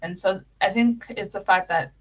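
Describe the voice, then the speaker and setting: A woman's voice: medium pitch, normal speed, breathy edge, muffled recording. A young woman from Kenya answering interview questions in a casual, giggly way, with lots of fillers and restarts. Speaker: interview guest.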